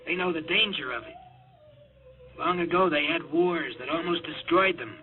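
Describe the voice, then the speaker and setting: An actor playing a frantic young rocket test pilot who pleads urgently, in a 1950s radio drama acting style, heard through a tinny old radio broadcast. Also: male voice, a little high, moderate pace, close to an everyday voice. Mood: dazed.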